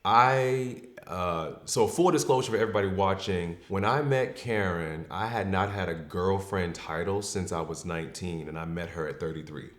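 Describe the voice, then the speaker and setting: A man in his thirties talks openly about his life, reflective and unhurried, with plenty of "um" and "like" along the way. His voice is very low and deep. The room around him is echoey and bounces the sound back. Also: fairly bright tone. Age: 30s. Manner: reflective and open, with frequent fillers. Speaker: podcast guest. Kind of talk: candid personal storytelling.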